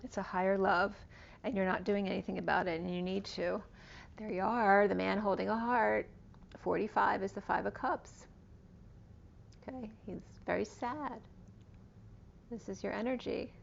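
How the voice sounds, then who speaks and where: A woman giving a casual tarot reading, rambling through cards and star signs, her voice going hoarse. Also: medium pitch, fairly even intonation, moderate pace, long pauses, a little hiss or hum. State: losing her voice. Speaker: tarot reader. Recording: dull lo-fi recording.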